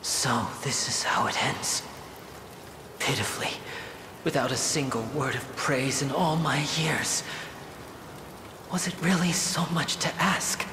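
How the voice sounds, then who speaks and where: A man's voice: mid-range voice, takes it slowly, long pauses, noisy background, natural voice. A man playing a haughty, theatrical young sorcerer lord in a video game, bitter and hungry for his mother's praise. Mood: bitter despair. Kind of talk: video game cutscene acting. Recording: echoey, background music behind.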